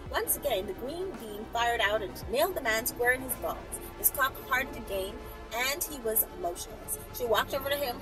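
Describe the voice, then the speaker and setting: An American woman reading a story aloud as a channel host, expressive and sassy, dropping in casual asides. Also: sits high, rough recording.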